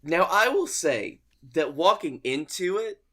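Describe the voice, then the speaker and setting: A man's voice: high-pitched, sing-song, a little slow, a bit bright. A man with an American accent telling casual, rambling comic stories as a podcast co-host, swearing freely as he goes. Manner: rambling, profane, conversational. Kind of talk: casual comic storytelling chat.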